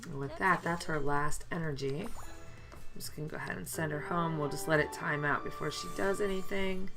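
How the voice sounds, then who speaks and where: A woman commenting casually on a mobile game as she plays, her voice deepened and gravelly from a chest cold, chatty with many fillers. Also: fairly even intonation, moderate pace, music in the background. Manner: chatty, mildly complaining, lots of fillers. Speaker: let's play gamer.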